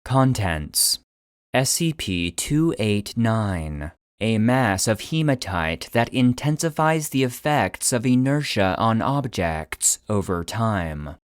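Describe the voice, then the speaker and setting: A man giving scripted narration in a measured, clinical manner, like a report being read out. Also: lowish voice, expressive, smooth, dry recording, studio quality. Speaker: narrator and producer.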